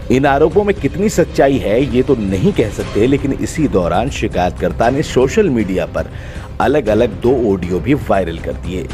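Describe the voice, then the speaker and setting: A man reading a scripted news report as presenter. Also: fairly low pitch. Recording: loud music bed behind voice. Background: background music.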